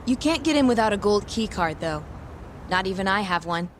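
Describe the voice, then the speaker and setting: An actress in an English game dub playing a polite, reserved young medical professional who explains things in a professional manner. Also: female voice, mid-range voice, animated, brisk, a little hiss or hum, lightly acted. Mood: informative.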